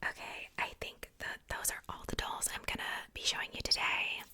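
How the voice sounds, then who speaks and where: A woman whispering softly in a casual, chatty ASMR style, rambling along with conversational asides. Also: brisk. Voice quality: soft unvoiced whisper. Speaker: ASMR video host showing a doll collection.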